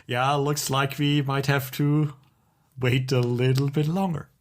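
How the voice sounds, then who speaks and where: A man's voice: medium pitch, steady tone, a little slow. A man co-hosting a podcast in casual conversation, with an opinionated, wry way of talking. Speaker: podcast co-host.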